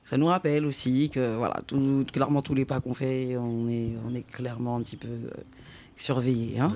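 A man's voice: medium pitch, level tone, moderate pace, darkish tone, slight background noise. A man hosting a casual interview, prompting his guest with short questions and frequent backchannels. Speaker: interviewer host.

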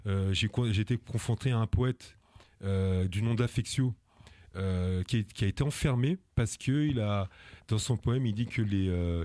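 A man's voice: fairly low pitch, warm tone, no room sound. A man from France, an activist guest on a radio interview, speaking earnestly and indignantly with many hesitations, fillers and restarts.

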